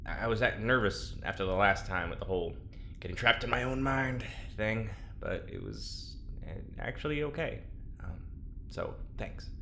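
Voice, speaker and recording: A lowish-voiced man in an audio drama, nervous but well-meaning. He stammers and trails off tentatively, with dry sarcasm underneath and faint ambient noise behind him. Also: animated, normal speed, creaky, pushed and bright, exaggerated voice. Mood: nervous gratitude. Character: nervous, wry, well-meaning research subject. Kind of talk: naturalistic audio drama acting.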